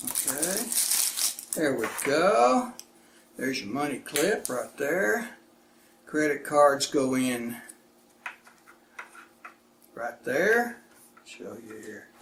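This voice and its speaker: A man hosting a casual unboxing demonstration, speaking in short, plain, folksy phrases. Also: mid-range voice. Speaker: product reviewer and video host. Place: indoors, echoey room. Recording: echoey room with clear background noise.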